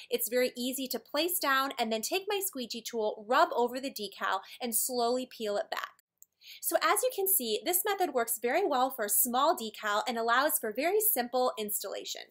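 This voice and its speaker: A woman with a high-pitched voice narrates an instructional video. She presents from a script, walking through each step plainly and in careful order. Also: fairly bright tone. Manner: clear step-by-step explaining. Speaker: tutorial presenter for a decor company.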